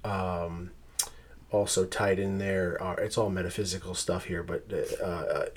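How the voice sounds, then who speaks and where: A man co-hosting a podcast, talking casually in a hesitant way, with lots of pauses and restarts. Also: deep voice, steady tone, breathy edge. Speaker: podcast co-host. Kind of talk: casual chat.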